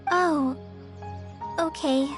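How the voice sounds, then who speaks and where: A woman's voice: high-pitched, drawn-out words, soft background music. An actress playing a shy, earnest teenage girl apprentice in a video game, hesitant, polite and eager. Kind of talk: scripted video game voice acting.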